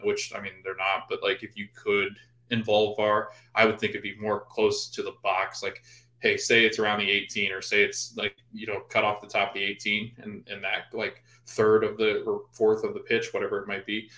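A man co-hosting a casual sports podcast, thinking out loud in loose, rambling sentences. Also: on the low side, little pitch movement, normal speed. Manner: rambling, full of fillers and restarts. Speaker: podcast co-host. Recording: roomy echo.

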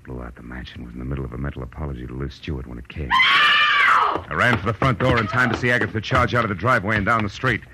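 An actor playing a tough, cynical, wisecracking private detective in a noir radio drama, talking in hard-boiled slang. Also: male voice, low-pitched, huge pitch swings, fast talker, raised voice, heavy background noise, heavily acted. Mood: tense narrating. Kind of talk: 1940s radio noir acting, with first-person narration.

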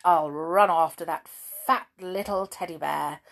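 A woman voicing a naughty, spiteful female toy doll in a storybook, speaking shrill and cross. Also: a little slow, vocal fry, fairly bright tone, heavily acted. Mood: gleeful.

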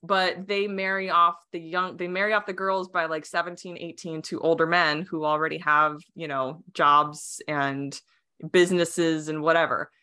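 A woman's voice: sits low, flat delivery, normal speed, sharp tone, clean tone. A young adult woman whose first language was Romanian, a podcast guest talking casually, rambling with many fillers, restarts and frequent laughs. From United States. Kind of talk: casual interview chat.

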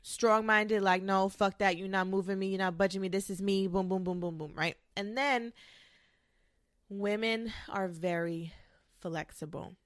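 A woman's voice: medium pitch, steady tone, unhurried, no echo, clean studio sound. A young woman with a congested, nasal voice from a cold, giving frank, chatty advice as a podcast host and swearing casually.

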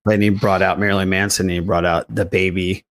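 A man's voice: sits low, flat delivery, fast talker. A man hosting a podcast in casual, conversational chat. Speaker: podcast host.

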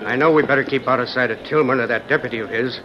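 Rough grumbly outlaw sidekick man acting, uneasy, old radio western, muffled w crowd behind. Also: medium pitch, very fast, close to an everyday voice. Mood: doubtful, grudging. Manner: rough, uneducated, sullen.

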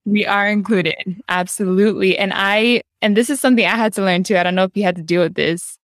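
A woman's voice: mid-range voice, steady tone, a little fast, dry recording, clean studio sound. A woman from the United States hosting a podcast interview, talking in an enthusiastic, affirming way. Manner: warm, affirming, enthusiastic, calls the guest "girl". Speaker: podcast host. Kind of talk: casual interview chat.

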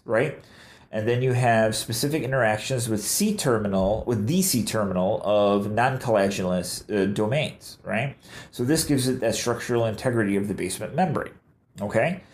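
A man's voice: medium pitch, darkish tone. A man with a nasal, congested voice hosting a medical education podcast, explaining kidney disease casually and asking his listeners rhetorical questions. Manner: explanatory, asks rhetorical questions and adds "right?". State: congested from allergies. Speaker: podcast host, nephrology educator.

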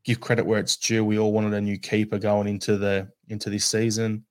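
A man with an Australian accent co-hosting a casual football podcast, animated and acting out his reactions. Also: lowish voice, brisk. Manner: animated, acts out reactions in quotes. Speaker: podcast co-host.

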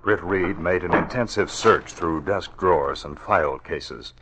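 A man narrating a 1940s radio adventure serial as a formal, deliberate storyteller. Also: sits low, background noise. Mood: dramatic, formal.

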